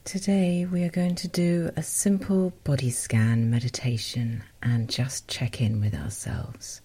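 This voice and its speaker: A woman softly guiding a meditation as host, in a gentle, unhurried, breathy voice that often nears a whisper. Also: extremely low pitch, flat delivery. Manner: gentle, unhurried, softly spoken with short phrases. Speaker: meditation guide and podcast host. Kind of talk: guided meditation, soothing instruction.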